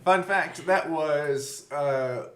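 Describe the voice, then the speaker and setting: A man talking casually as a game master looking back on the show he made, halting a little as he thinks aloud. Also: a little high. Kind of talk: casual behind-the-scenes recollection.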